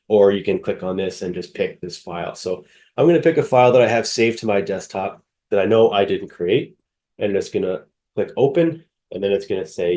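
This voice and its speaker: A man with a cold who sounds nasal, walking through software on a screen share in a casual, hesitant way full of fillers. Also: low voice. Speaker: software demonstrator.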